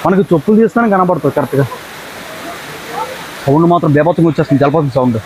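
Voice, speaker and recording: Young male travel vlogger. High register, rapid excitable delivery, casual and slangy, recorded outdoors with light ambient noise. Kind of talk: casual vlog chat to camera. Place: outdoors on forest trail and by waterfalls.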